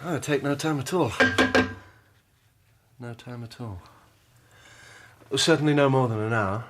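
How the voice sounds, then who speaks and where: An actor playing an earnest, inquisitive young engineer in a radio drama, with a British accent and a polite, well-spoken manner. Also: male voice, medium pitch, measured pace, close to an everyday voice. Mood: curious, guarded. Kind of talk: radio drama acting and first-person narration.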